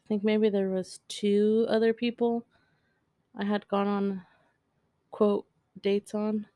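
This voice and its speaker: A woman's voice: mid-range voice, flat delivery, warm tone, dry recording, studio quality. A young woman co-hosting a casual couple's podcast, telling stories candidly. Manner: candid, storytelling, quick laughs. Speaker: podcast co-host.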